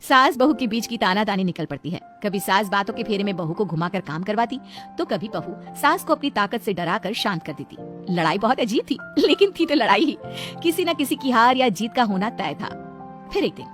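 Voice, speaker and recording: A woman's voice: mid-range voice, animated, brisk, fairly bright tone, faint music behind. A woman narrating a scripted comic moral story as the storyteller.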